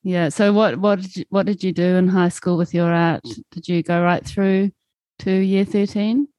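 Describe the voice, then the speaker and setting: A woman hosting an interview, her voice a little low and recorded close and dry. She asks friendly questions and encourages her guest often. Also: fairly even intonation, moderate pace, dark tone, dry recording. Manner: encouraging, affirming. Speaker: interviewer, host.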